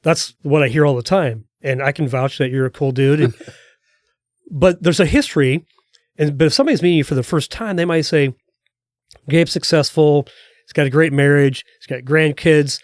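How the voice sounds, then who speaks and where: Guy hosting a podcast, kinda high voice, encouraging, dry sound. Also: moderate pace, no echo. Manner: affirming, encouraging. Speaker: podcast host. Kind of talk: conversational interview.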